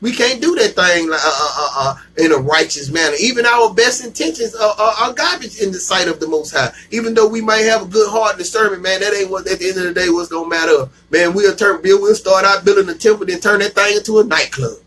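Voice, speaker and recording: A man's voice: high voice, brisk, few pauses, a bit bright, slight background noise. A man teaching scripture in an emphatic call-and-response style, slangy and repeating himself for emphasis. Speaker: bible teacher. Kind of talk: street-level scripture teaching.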